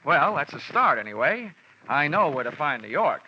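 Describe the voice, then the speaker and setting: A man's voice: fairly high pitch, sing-song, moderate pace, heavy background noise. An actor playing a dry, wisecracking insurance investigator who narrates his case in a 1950s radio drama. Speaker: narrator and lead detective. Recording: dull old radio recording with music.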